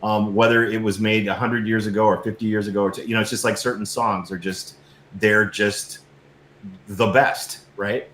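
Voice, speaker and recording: Echoey, low-voiced earnest middle-aged man. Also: flat delivery, normal speed, a bit bright. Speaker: interview guest, documentary filmmaker. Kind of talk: casual conversational storytelling. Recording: roomy echo, faint background noise.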